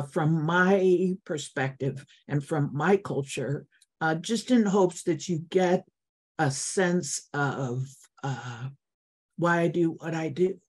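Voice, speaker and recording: A middle-aged woman teacher giving an informal online talk, unhurried and thinking aloud with fillers as she goes. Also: low-pitched, darkish tone, husky. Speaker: teacher and guest speaker.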